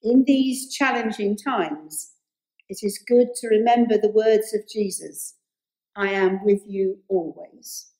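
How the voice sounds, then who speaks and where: An older English woman, a priest, leading a scripted church welcome and prayer in measured, deliberate phrases. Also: medium pitch, fairly even intonation, warm tone. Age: 60s or older. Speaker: Anglican priest leading worship. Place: inside a stone church. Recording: roomy church echo.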